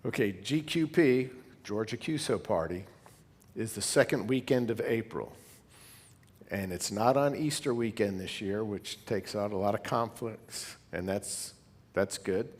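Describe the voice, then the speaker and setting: A man's voice: lowish voice, a little slow. A man giving an informal, conversational slide presentation to fellow hobby club members, wandering into asides as he goes. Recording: long hall reverb.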